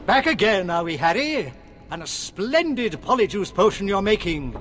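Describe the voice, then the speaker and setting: An actor with an English accent playing a genial, pompous older potions professor with an avuncular, flowery manner in a video game. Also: male voice, high voice, sing-song, moderate pace, loud music behind the voice. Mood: genial, knowing.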